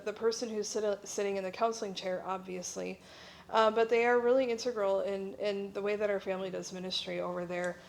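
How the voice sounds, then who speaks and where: A middle-aged woman gives an informal talk as a missionary presenter. She explains things conversationally, in a mid-pitched voice. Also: gravelly. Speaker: missionary speaker at a church breakout session.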